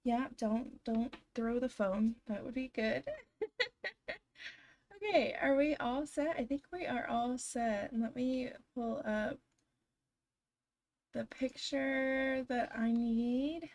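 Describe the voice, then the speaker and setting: A young woman live streaming from home, her voice a little hoarse and froggy after being ill. She sits somewhat high in pitch and talks slowly, drifting through casual chatter with her viewers and laughing often. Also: steady tone, a little mellow. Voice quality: slightly scratchy, froggy. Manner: chatty, giggly, talks to chat. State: hoarse, recovering from illness. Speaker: game streamer. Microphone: desk microphone.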